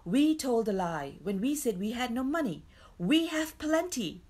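A woman's voice: fairly high pitch, sing-song, unhurried, darkish tone, voice pushed up. A woman voicing a frank, earnest young boy in a children's storybook. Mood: bold.

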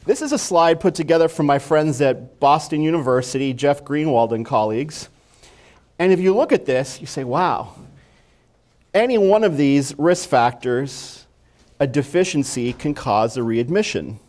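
A man's voice: a little high, lively intonation, moderate pace, a little mellow. A middle-aged man from Mexico, a physician giving a conference lecture on stage in a friendly, conversational way, asking the audience questions. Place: dark stage, indoors.

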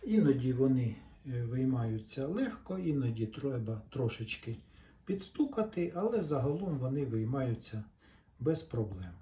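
A man talks through a practical demonstration in a mellow, relaxed voice. He explains patiently, one step at a time, pausing briefly as he works. The recording is roomy and echoey, with faint noise behind him. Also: mid-range voice, highs cut off. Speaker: repair tutorial presenter. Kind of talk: unscripted hands-on demonstration.

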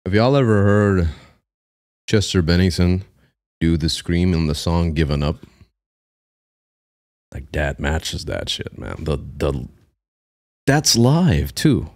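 A man in his thirties with a low voice reacts excitedly to music on a live stream, his pitch leaping up and down as he gets hyped. He talks in loose slang right into a close studio mic. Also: slow pace, long pauses. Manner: excitable, slangy, breaks into laughs and hums. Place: small room with blue lights, gaming chair. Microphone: studio mic on arm, headphones.

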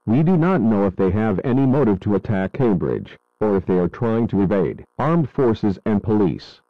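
A grave radio newsman, acted by a man, reports breaking disaster coverage in a lowish voice. He is serious and explanatory, and the sound is dry and slightly dull. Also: sing-song, moderate pace, no room sound. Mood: grave concern. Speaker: radio news anchor. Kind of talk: scripted breaking news coverage.

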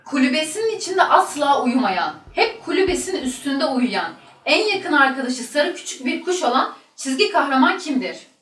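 Heard from off the mic in an echoey room, a woman reads out quiz questions. Her voice is high-pitched. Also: sing-song, moderate pace, fairly bright tone, distant mic. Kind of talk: reading quiz questions aloud.

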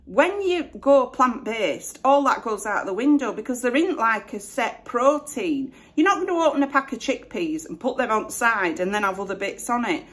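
A woman in her forties with a West Yorkshire accent, chatting to camera at home in a relaxed, self-deprecating way. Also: on the high side, moderate pace, hardly any pauses. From Northern England. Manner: chatty, self-deprecating, lots of "you know". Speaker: YouTuber. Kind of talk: casual Q&A chat to camera. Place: home room with flowers behind.

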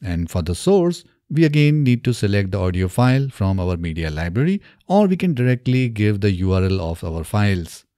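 A man presents a software walkthrough step by step in a mellow, low voice. His intonation rises and falls freely. He speaks close to the microphone in a small indoor studio. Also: big pitch swings, moderate pace, few pauses, no room sound. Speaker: tutorial presenter. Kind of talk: step-by-step software tutorial narration. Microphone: close desk microphone on an arm.

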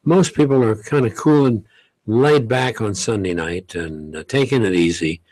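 Older man with a low, unhurried voice. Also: a little mellow, dry recording. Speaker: veteran radio host guest. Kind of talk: radio interview answers. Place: small radio studio. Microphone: studio mic with headphones.

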